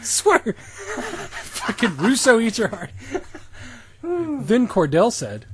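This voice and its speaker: A man co-hosting a comedy podcast, reading fan fiction dialogue deadpan and joking crudely. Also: very high-pitched, very slow, background noise. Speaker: podcast co-host.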